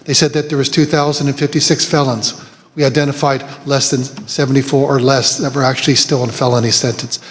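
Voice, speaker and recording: A man's voice: mid-range voice, flat delivery, fairly quick. A man giving testimony at a hearing, answering firmly and methodically, listing figures one by one. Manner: firm, methodical, lists figures. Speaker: testifying official. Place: large hearing room. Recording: long hall reverb with faint ambience.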